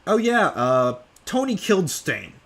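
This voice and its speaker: Young male creator, slow offhand Q&A delivery, voice swooping up and down, fairly high for a man, lots of "uh"s. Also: huge pitch swings, creaky, fairly bright tone. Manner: rambling with many fillers, offhand. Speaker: creator answering fan questions. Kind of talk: casual live Q&A.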